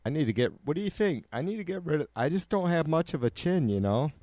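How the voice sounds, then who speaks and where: A man with a raspy, nasal voice, rambling profanely and jokingly on his livestream. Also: a little high, sing-song, fast talker, a little mellow, no echo. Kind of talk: casual rambling livestream chat.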